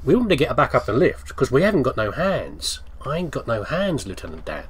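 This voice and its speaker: A man's voice: mid-range voice, very animated, brisk, slightly rough. A man giving casual gameplay commentary, rambling and thinking aloud as he talks to himself. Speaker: gamer commentating on playthrough.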